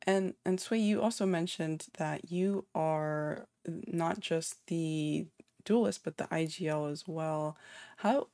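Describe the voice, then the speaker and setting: A woman from North America hosting an online interview, asking friendly, measured questions with a few fillers. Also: low voice, creaky, dark tone, dry recording.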